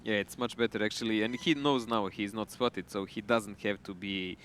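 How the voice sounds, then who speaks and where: Bright-voiced male esports caster. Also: lowish voice, steady tone, normal speed, slight background noise. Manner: chatty, loose phrasing with restarts. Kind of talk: live esports commentary.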